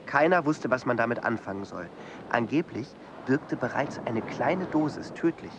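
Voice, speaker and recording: An actor voicing a young man who tells a story about his father in a lively way, in a radio play. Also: medium pitch, moderate pace, background noise, natural voice. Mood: storytelling.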